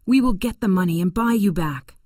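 A woman's voice: medium pitch, expressive, fairly quick, a little mellow, dry recording, studio quality, pitched above the performer's own voice, natural voice. A woman doing a tearful kind farm lady. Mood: tender.

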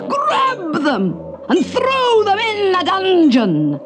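Woman playing fierce guards, shouting at high pitch. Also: wildly swooping pitch, extremely slow, over loud music, heavily acted. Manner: shouted, roaring commands. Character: fierce bellowing male castle guards.

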